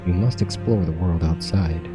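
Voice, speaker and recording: A man voicing a stern, mysterious old man from a game, reading his cryptic lines in a solemn, measured way. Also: deep voice, over music, natural voice. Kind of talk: reading game dialogue aloud.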